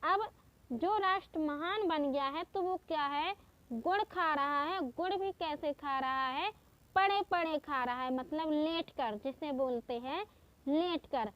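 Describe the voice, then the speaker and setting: A woman teaching exam-prep memory tricks in a slow, patient way, repeating each step. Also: sits high, fairly bright tone. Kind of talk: exam-prep mnemonic lesson.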